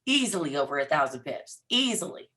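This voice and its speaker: A man with a hoarse, lost voice, talking in an energetic, hype-filled way to viewers as a trading coach on a live stream. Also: fairly high pitch, a little fast, slight background noise.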